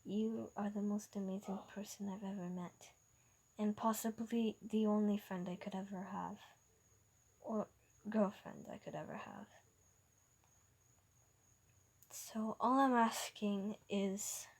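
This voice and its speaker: A bashful young man proposes in a hushed, stumbling voice with a Norwegian accent, put on by a woman. His words come slowly and unevenly, with many restarts. It is a homemade fan story reading. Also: mid-range voice, steady tone, breathy edge. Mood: earnest. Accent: Norwegian (imitated). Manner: hesitant, quiet, stumbling. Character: nervous young man proposing, soft-spoken.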